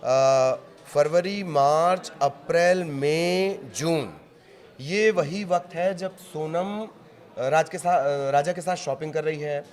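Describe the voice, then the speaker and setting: A man's voice: on the high side, takes it slowly, a little mellow. A man anchoring a live news discussion, putting questions and observations to a colleague.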